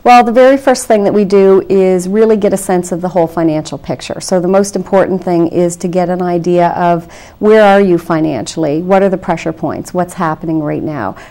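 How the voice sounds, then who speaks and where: A woman with a somewhat low, mellow voice, talking as a debt counselor on a television interview show. She explains in a measured, reassuring way and fills her pauses with "you know" and small restarts. The sound is a clean studio recording. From Canada.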